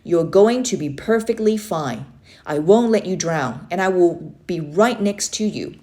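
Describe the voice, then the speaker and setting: A woman's voice: lowish voice, animated, measured pace, a little mellow. Woman reading as firm, reassuring uncle, raised voice. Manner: firm, instructive, short commanding sentences. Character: Uncle Jake, firm, reassuring adult male swim coach. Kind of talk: children's storybook read-aloud.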